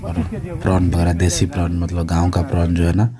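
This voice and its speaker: A man's voice: low voice, monotone, a little fast, crowd noise. A man in his thirties from Odisha with an Odia accent, explaining things casually and repetitively as he narrates a vlog on a handheld phone. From Odisha, India. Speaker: village vlogger. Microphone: phone at arm's length. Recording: noisy handheld phone recording.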